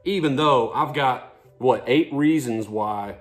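A man's voice: mid-range voice, slow. A man in his forties talking casually to camera as a vlog host, in a folksy, conversational way, recorded in a living room. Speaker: fishing vlogger, host.

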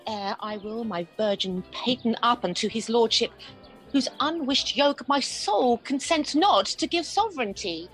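A woman's voice: fairly high pitch, animated, a little slow, a bit bright, soft background music. A woman acting a defiant young maiden in a Shakespearean radio play, earnest and formal. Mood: resolute. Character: defiant young maiden pleading her love.

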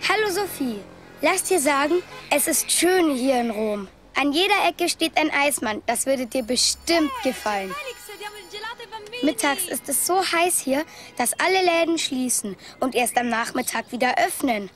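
A woman's voice: high-pitched, expressive, a little slow, over music. A woman voicing a small, cheerful male toy rabbit who chats in a friendly tone as he reads out his letter from a trip. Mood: chatty. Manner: chatty, friendly letter-writing tone. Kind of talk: storybook letter reading.

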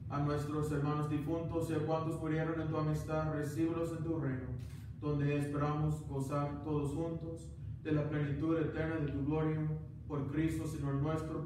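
Male priest, 30s, steady recited prayer; boomy small chapel, distant camera mic. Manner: steady recited prayer, chants some parts. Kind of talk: liturgical prayer at Mass. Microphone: far camera. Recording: echoey chapel, distant mic, background hiss.

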